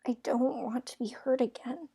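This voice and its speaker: Woman acting high-voiced, guarded young fairytale heroine. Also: steady tone, moderate pace, warm tone, slightly rough, heavily acted. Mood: apologetic, vulnerable. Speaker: voice actor in an audio drama. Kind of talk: fairytale audio drama acting.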